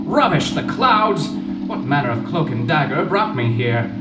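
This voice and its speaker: A man's voice: a little high, very animated, moderate pace, over loud music. An actor playing a fussy, bookish, easily alarmed male professor with pompous, florid diction in an old-time radio adventure. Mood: alarmed, indignant.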